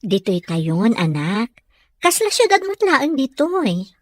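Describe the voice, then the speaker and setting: A woman playing a gentle caregiver and doting mother in an audio drama. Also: on the high side, big pitch swings, slow pace, exaggerated voice. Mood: tender. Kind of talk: Ilocano audio drama acting.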